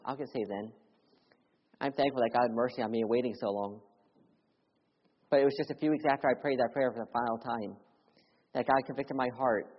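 Earnest preacher in a reverberant church. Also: male voice, husky. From Philadelphia. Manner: earnest, swings between loud urgency and quiet hushed lows. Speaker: missionary preacher. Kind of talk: sermon with personal testimony. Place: church sanctuary. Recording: dull audio with long church reverb.

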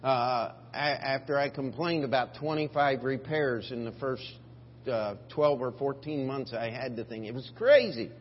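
Slow deliberate preacher guy, emphatic on scripture, echoey church tape, muffled. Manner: emphatic, rising on scripture, dropping low for asides. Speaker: pastor. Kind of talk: preaching a sermon. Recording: dull lo-fi church recording with hall reverb and faint hum.

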